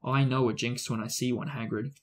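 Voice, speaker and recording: A man reading a bright, bossy schoolgirl in a storybook, earnest and insistent. The voice stays husky and close to the reader's own. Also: mid-range voice, fairly even intonation, a little fast, lightly acted.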